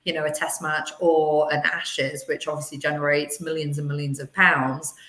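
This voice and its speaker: A woman's voice: low-pitched, little pitch movement, normal speed, sharp tone, roomy sound. A woman, chatting as an upbeat guest on a podcast. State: slightly hoarse. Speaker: venue sales director.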